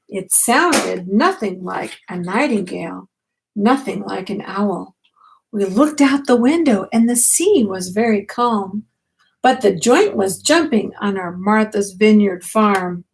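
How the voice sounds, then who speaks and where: An older woman, a grandmother, reading a picture book aloud at bedtime, expressively, with gentle questions to a child. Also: mid-range voice, expressive, slow, creaky, low-quality recording.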